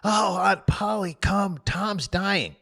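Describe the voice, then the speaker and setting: A man's voice: high-pitched, very animated, slow, pushed and bright, voice pushed up, heavily acted. Man doing an anxious, pleading boy Sid. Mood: urgent.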